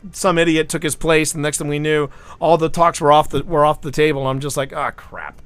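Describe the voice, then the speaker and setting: A man's voice: on the high side, little pitch movement, brisk, fairly bright tone, clear, resonant tone, no room sound, faint music behind. A man from the United States hosting a livestream, rambling through stories and often repeating his words as he chats. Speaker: livestream host. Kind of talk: casual chat.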